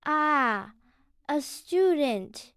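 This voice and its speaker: A narrator voicing a kindly, scholarly male library spider with a polite, precise, gently fussy manner. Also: high-pitched, lively intonation, very slow, no room sound, studio quality, voice pushed up, full character voice. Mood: kindly, thoughtful.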